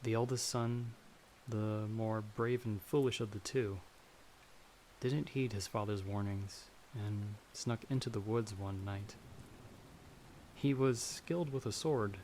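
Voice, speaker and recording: A man's voice: fairly low pitch, steady tone, takes it slowly, a little mellow, slight background noise, rough recording. A man playing a timid, polite young farmer in an ASMR fantasy roleplay, speaking hesitantly and a little formally. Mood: uneasy storytelling. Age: young adult. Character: timid, polite young male farmer traveler, easily frightened. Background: stormy night ambience.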